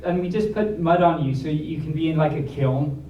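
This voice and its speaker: A man's voice: fairly high pitch, fairly even intonation, normal speed, warm tone, dull audio. A man reading a storybook aloud to a live audience in a playful, theatrical way, with chatty asides. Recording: roomy, distant mic, faint background noise.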